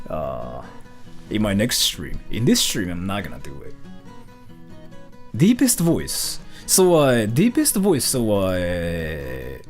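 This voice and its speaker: A man from Japan, a streamer, chatting casually and answering viewer questions in a rambling, loose way. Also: on the low side. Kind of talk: casual livestream chat, reading viewer questions.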